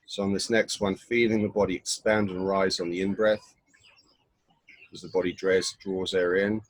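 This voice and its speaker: Very low-voiced man guiding meditation. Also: little pitch movement, dry recording, low-quality recording. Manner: unhurried, instructional, with pauses between short phrases. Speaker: meditation guide. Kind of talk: guided body scan meditation.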